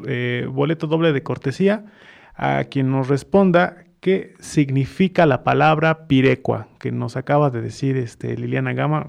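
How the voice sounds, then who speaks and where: A man's voice: a little high, gravelly, darkish tone. A man with a central Mexican accent hosting a radio interview and reading announcements, hesitating and repeating words. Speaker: radio host.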